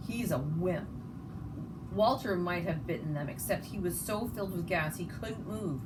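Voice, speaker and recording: A woman's voice: on the low side, moderate pace, far from the mic. Woman reading a children's story, noisy recording. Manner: expressive, stumbles over words and rereads. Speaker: storybook reader. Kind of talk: children's story read-aloud. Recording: noticeable background noise.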